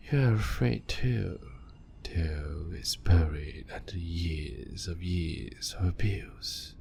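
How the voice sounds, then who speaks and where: A man voicing an ancient male vampire doctor, calm and clinical, speaking softly and often in a whisper in an audio roleplay. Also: very deep voice, vocal fry, a little hiss or hum, full character voice. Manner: soft-spoken and measured, often whispering.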